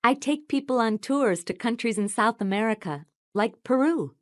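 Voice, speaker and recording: Woman acting an enthusiastic woman, fairly high voice, lively intonation, scripted learner conversation. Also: moderate pace, close to an everyday voice. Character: enthusiastic woman chatting in textbook dialogue. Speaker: voice actor in English lesson dialogues.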